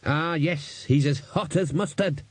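A man voicing a vague, waggish wizard dean who speaks haltingly and earnestly, in an audiobook. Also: on the high side, slightly rough, no echo, voice pushed up. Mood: quibbling. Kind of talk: audiobook character voice.

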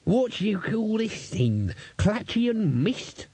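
A cantankerous old manservant, suspicious and quarrelsome, as a male reader puts him on. He drawls his complaints slowly, his voice climbing high and strained with wide swings in pitch. The sound is dry and close, with a husky edge. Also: big pitch swings, mellow, no echo, heavily acted. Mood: grumpy. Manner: bawling, quarrelsome, slow drawn-out.

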